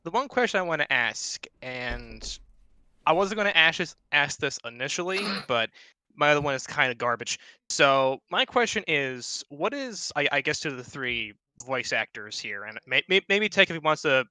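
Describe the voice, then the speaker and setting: A man's voice: fairly high pitch, very animated, normal speed, pushed and bright, clean tone, no echo. A man chatting casually in an online panel, rambling and joking at his own expense as he asks a question. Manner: rambling, jokey, self-deprecating. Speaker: audience member asking a question. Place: remote voice chat.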